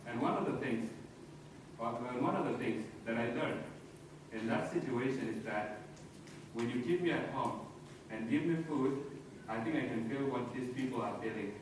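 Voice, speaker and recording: A man with a South Sudanese accent telling a personal story as a speech, in deliberate short phrases. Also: medium pitch. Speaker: conference speaker. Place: large echoey hall. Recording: very echoey hall, distant mic, noisy.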